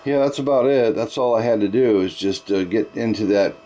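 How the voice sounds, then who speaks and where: A man's voice: lowish voice, a little fast, mellow, a little hiss or hum. A man talking casually as an amateur radio operator, explaining things in a chatty way and using radio procedure words. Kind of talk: casual ham radio conversation.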